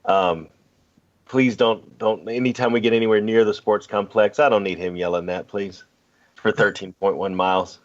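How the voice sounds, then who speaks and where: Co-host, easygoing jokester, low voice, dull lo-fi sound. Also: male voice, steady tone, moderate pace. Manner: laid-back, joking, fillers. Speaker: podcast co-host. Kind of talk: casual sports podcast banter.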